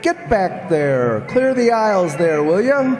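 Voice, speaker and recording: A man playing a gallant, skeptical gentleman in a radio drama, speaking in a polite, wry and measured manner. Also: high-pitched, big pitch swings, over music, full character voice. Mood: commanding, gallant. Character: gallant, skeptical gentleman drawn into a spy intrigue. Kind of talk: old-time radio drama acting. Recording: reverberant stage-like radio play recording.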